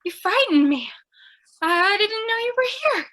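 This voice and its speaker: A woman's voice: extremely high pitch, sing-song, moderate pace, sharp tone, heavily acted. A woman playing a plucky, scatterbrained young newspaper reporter in an old-time radio comedy mystery, flustered and eager. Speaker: voice actor.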